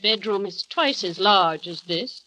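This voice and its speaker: An actress playing a cold, stiffly formal housekeeper of a grand house in a 1930s radio drama. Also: female voice, medium pitch, animated, measured pace, sharp tone, slight background noise, rough recording.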